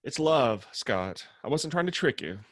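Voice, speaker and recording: A man's voice: mid-range voice, sing-song, measured pace, fairly bright tone, no room sound. A man playing a sardonic, blunt young roommate in a comedy table read. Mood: blunt, encouraging. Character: dry, sarcastic young male roommate, bluntly supportive.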